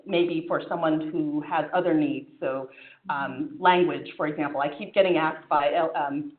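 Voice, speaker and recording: A woman with a lowish voice gives a talk to a room of colleagues, talking loosely with plenty of "um"s and self-corrections. The sound is narrow and phone-like, and the room rings with echo. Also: fairly even intonation, normal speed. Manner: conversational, many fillers and restarts. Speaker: physician presenter. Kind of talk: conference presentation with Q&A. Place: conference room.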